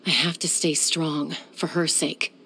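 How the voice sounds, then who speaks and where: An actress voicing a young warrior woman who is a peacemaker, speaking in a calm, measured way with a dry, teasing edge. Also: low voice, gravelly, a little hiss or hum, exaggerated voice. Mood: resolute. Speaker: game character. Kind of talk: game voice lines.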